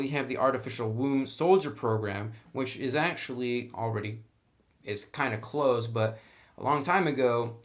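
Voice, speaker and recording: A man giving a casual, conversational review of a tabletop game book, speaking with enthusiasm about the material. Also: medium pitch.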